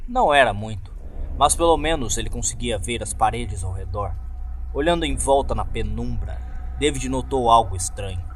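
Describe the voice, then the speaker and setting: A man's voice: medium pitch, animated, moderate pace, a little hiss or hum. A man with a Brazilian accent reading a scripted horror story as narrator. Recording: loud music under the voice. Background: loud background music.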